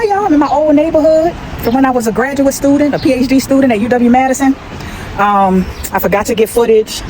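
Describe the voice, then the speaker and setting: A woman's voice: medium pitch, a little mellow, slight background noise, poor audio. A woman in her forties talking casually to her viewers in a vlog, chatty and direct, addressing them as y'all. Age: 40s. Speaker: vlogger. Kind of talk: casual vlog talk to camera.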